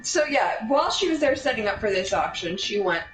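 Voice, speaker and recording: A woman's voice: mid-range voice, brisk, soft background music. Woman telling stories, roomy distant recording. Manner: animated, rambling with tangents, acts out quotes. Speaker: storyteller. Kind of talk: casual anecdotal storytelling. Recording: roomy, distant mic, steady background noise.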